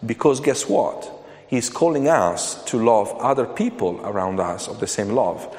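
A man from Italy with an Italian accent preaching a sermon as a guest speaker, speaking hesitantly with frequent fillers and restarts. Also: medium pitch. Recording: reverberant hall with faint ambient noise.

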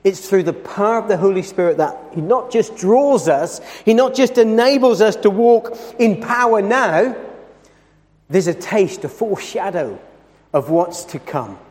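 A man preaching a sermon in a church, with a loud voice and an earnest, emphatic delivery that slows down over scripture. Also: sits high, animated. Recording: long hall reverb.

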